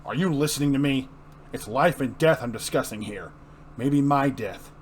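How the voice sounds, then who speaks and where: A man performs a grim masked vigilante from a comic book. He speaks earnestly with a threatening edge, in a medium pitch. The amateur recording has faint noise. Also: moderate pace, close to an everyday voice. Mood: urgent, stern.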